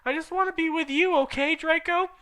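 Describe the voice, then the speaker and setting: A man voicing a melodramatic teenage goth girl who rants in a shrill, exaggerated way. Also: steady tone, brisk, pushed and bright. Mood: outraged.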